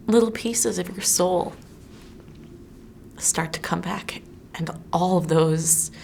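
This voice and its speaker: A woman's voice: fairly low pitch, vocal fry, slight background noise, full character voice. A woman performing a dramatic monologue as a heartbroken, earnest woman pining over unrequited love. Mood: wistful, healing. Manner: earnest, wistful, confiding.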